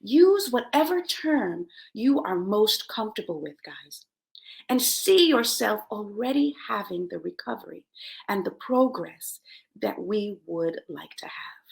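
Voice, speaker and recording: A woman from Jamaica hosting a self-help show, giving motivational talk and personal stories in an emphatic, expressive way, repeating key words for effect. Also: medium pitch, unhurried, gravelly, rough recording.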